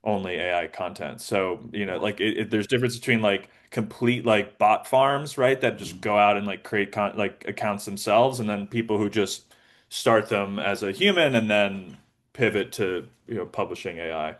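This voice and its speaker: A man answering as an interview guest, talking informally and haltingly with many filler words. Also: lowish voice, fairly bright tone. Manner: informal, halting, frequent "like" and "you know". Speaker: interview guest, app founder. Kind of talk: conversational interview.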